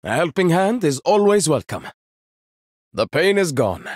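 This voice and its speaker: A proud young inventor-warrior. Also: male voice, a little high, very animated, a little mellow, dry recording. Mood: confident. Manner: measured, proud, dry wit. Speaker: game character. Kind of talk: video game voice lines.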